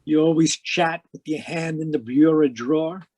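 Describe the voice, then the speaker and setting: Casting: male actor for hardboiled 1940s radio detective, lighter-pitched voice, dry wisecracks, world-wise and cynical. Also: moderate pace, a little mellow, lightly acted. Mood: sardonic, defiant. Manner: wry, terse, slangy delivery.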